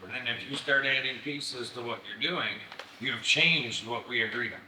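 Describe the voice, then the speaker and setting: A man's voice: mid-range voice, moderate pace, pushed and bright. A man, a township official, explaining permit rules firmly at a public meeting. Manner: firm, explanatory. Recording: echoey hall, faint noise.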